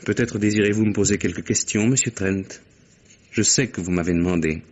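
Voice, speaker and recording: An actor playing a brisk, self-assured private secretary, talkative and frank, in a mid-century French radio mystery. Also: male voice, lowish voice, darkish tone, natural voice.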